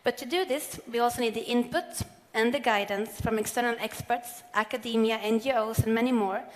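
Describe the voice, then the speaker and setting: Woman, thirties, measured earnest presenter, headset mic, long hall reverb. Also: fairly high pitch. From Sweden. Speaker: corporate sustainability speaker. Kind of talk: prepared keynote speech read from notes. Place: large event hall on stage.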